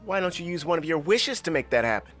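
A man's voice: a little high, sing-song, fast talker, fairly bright tone, soft background music. An actor playing a guileless, earnest young wannabe businessman in a comedy radio play. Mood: blithely pushy. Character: naive, eager young bearded wannabe businessman.

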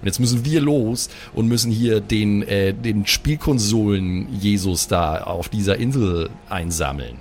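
A man's voice: lowish voice, unhurried, hardly any pauses, a little mellow, faint music behind. A man improvising in a role-playing game, talking casually and colloquially in character.

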